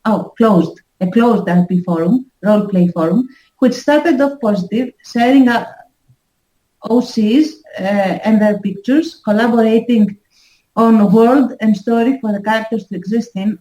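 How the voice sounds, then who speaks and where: A woman's voice: a little high, measured pace, warm tone, echoey room, low-quality recording. A woman on a podcast, reading out a strange dream story in a wry, reflective way. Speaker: podcast guest. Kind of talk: casual chat, reading a story.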